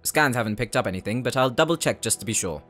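A man acting as a polite, attentive companion with dry wit in an audio roleplay, speaking in a measured way. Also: medium pitch, lively intonation, a bit bright, faint music behind, lightly acted. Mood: calm, teasing.

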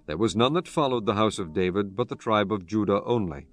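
A man's voice: fairly low pitch, lively intonation, a little fast. A man narrating a dramatized scripture reading in a solemn, formal storytelling manner. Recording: music bed behind the voice.

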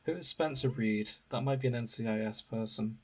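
A man's voice: medium pitch, a little fast, fairly bright tone, husky. A man podcast host casually recounting a story to friends in an amused, wry manner. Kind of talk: casual chat, recounting fan fiction.

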